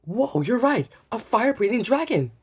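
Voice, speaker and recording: Lively storyteller reading aloud to children. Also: mid-range voice, slightly rough. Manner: halting, with stumbles and repeated words; exclaims with exaggerated wonder for children. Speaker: storyteller and host reading a picture book aloud. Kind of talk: children's read-aloud, addressing young viewers with questions.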